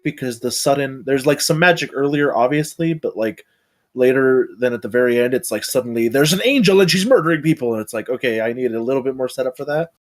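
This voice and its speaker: A man offers casual critique of a script in an average-pitched voice. He feels his way through his points, thinking aloud with hesitations. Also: darkish tone. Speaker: table reader giving script feedback.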